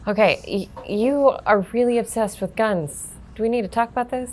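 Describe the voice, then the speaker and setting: A woman's voice: on the high side, normal speed. A woman chatting casually as a player in a tabletop role-playing game.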